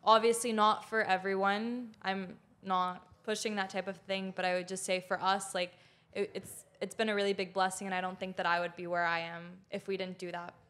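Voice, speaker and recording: A woman's voice: medium pitch, flat delivery, a little fast, fairly bright tone. A woman in her twenties from Canada, a chef and food creator, talking on a podcast in a friendly, earnest way with plenty of "um"s. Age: 20s. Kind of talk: casual interview chat. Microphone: handheld mic.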